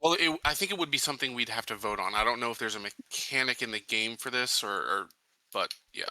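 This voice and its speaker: A man playing a tabletop roleplaying game, speaking casually and haltingly as he searches for words. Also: mid-range voice, expressive, sharp tone, no echo, low-quality recording.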